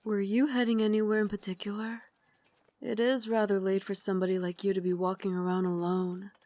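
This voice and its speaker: A woman playing an elegant, caring and gently commanding Victorian vampire lady in an ASMR roleplay, speaking softly, formally and with doting refinement. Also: mid-range voice, level tone, fairly quick, no room sound, close to an everyday voice. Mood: gracious, inviting. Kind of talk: ASMR roleplay, slow intimate scripted acting.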